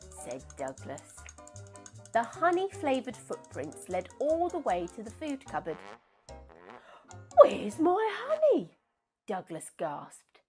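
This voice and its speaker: A woman reading a children's storybook aloud in an expressive, unhurried and dramatic way. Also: medium pitch.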